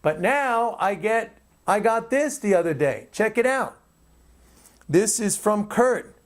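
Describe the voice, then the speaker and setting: A man's voice: sits high, lively intonation, takes it slowly. A man hosting his own commentary, speaking off the cuff in an emphatic, opinionated way. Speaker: commentator and host.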